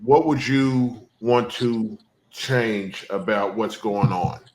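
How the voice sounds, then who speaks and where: A man asking interview questions in a measured, deliberate way. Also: lowish voice, a little mellow. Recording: roomy echo, faint noise.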